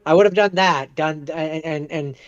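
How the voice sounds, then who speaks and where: Smooth, higher-pitched man, slightly muffled. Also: unhurried, no echo. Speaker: podcast co-host. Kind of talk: casual movie discussion.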